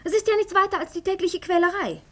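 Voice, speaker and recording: An actress playing a proud, irritable and bitter young invalid in a radio drama, brusque and defiant in manner. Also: female voice, extremely high pitch, quick pace, fairly bright tone. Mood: bitter, mocking.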